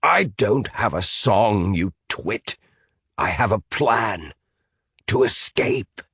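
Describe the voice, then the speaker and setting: A man's voice: fairly low pitch, lively intonation, takes it slowly, vocal fry, fairly bright tone, breathy edge, no echo, clean studio sound, exaggerated voice. Audiobook role: man doing a scheming captive, wry and slightly lifted. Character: pale, scheming male captive, dry-witted.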